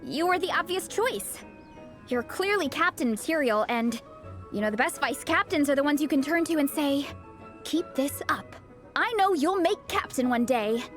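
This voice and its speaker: A woman voicing a cheerful, excitable young fan-club captain in a video game, bubbly and friendly. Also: high-pitched, moderate pace, faint music behind.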